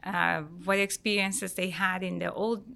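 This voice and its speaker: A middle-aged woman from Iran, an interior designer talking through her career as a podcast guest, relaxed and full of fillers. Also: medium pitch, measured pace, gravelly, clear, resonant tone. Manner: relaxed, many fillers and restarts. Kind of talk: conversational interview answers.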